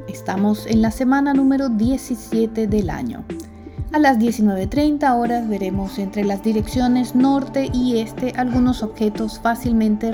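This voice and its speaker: A woman hosting a radio astronomy program, reading scripted segments in a clear, measured way and interviewing a guest. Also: on the high side. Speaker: radio show host. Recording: music bed behind voice.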